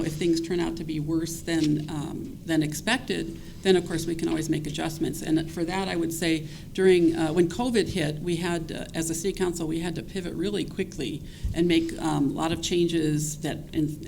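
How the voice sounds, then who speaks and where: Low, creaky-voiced woman at a forum. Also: a little mellow, a little hiss or hum, low-quality recording. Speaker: city council member and candidate. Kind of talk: panel answer at a candidate forum.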